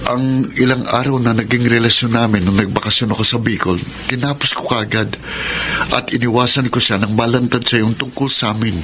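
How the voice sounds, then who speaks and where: A man's voice: medium pitch, fairly even intonation, a little fast, background noise, lightly acted. An actor with a Filipino accent playing a placating, defensive young man in a radio drama, hesitant and pleading. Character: defensive young boyfriend and respectful son, placating. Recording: music bed under the voice.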